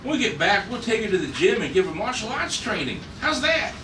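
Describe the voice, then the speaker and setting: A man's voice: high-pitched, big pitch swings, brisk. A man playing an easygoing, teasing rocket crewman in a read-through of a retro space adventure script. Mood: persuasive, friendly. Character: easygoing, teasing male rocket crewman defending a young woman. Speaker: actor at a script table read. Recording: echoey room, distant mic, noisy.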